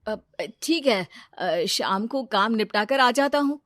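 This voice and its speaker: A woman's voice: on the high side, animated, slow pace, a bit bright, no room sound, studio quality. A woman voicing an honest, polite young male cart seller in a cartoon story, obliging and a little hesitant.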